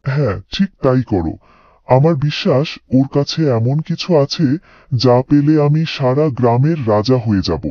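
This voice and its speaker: A man's voice: medium pitch, lively intonation, normal speed, warm tone, no echo, highs cut off, lightly acted. A man playing a greedy, scheming village moneylender in a cartoon fairy tale, calculating and self-important. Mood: suspicious, scheming.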